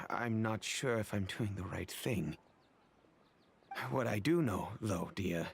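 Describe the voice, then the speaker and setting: An actor playing a grave, secretive middle-aged wealthy father who speaks deliberately. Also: lowish voice, husky.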